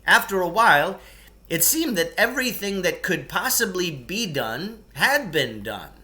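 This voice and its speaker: Male storybook narrator, bright and forward, a touch high in pitch, expressive and emphatic. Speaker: reader of a children's novel. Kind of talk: storybook read-aloud narration.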